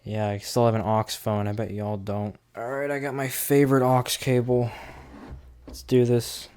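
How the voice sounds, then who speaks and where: A man's voice: medium pitch, flat delivery, normal speed, darkish tone, clear, resonant tone. A man with a clean, resonant voice hosts a casual product demo. He talks informally and directly to viewers, rambling a little as he explains each control and feature. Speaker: host of a gadget review series.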